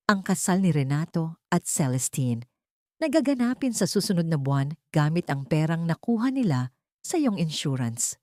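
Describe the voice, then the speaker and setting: A woman's voice: low-pitched, warm tone, dry recording, clean studio sound. A woman with a Filipino accent voicing a serious, wealthy older male mentor in a grave manner for an audio story. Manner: grave, measured.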